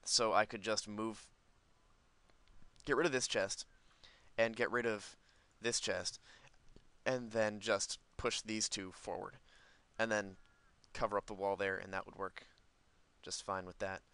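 A man's voice: fairly low pitch, steady tone, measured pace, sharp tone, clear, resonant tone, no room sound. A man giving casual let's play commentary, rambling and thinking aloud as host of a gaming video.

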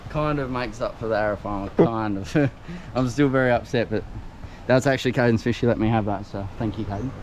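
A man casually chatting while fishing at a lakeside, filming his own trip, excitable and laid-back, now and then swearing. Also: medium pitch, fairly quick. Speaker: angler filming a fishing vlog. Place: lakeside fishing spot outdoors. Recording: outdoor camera audio with background noise.

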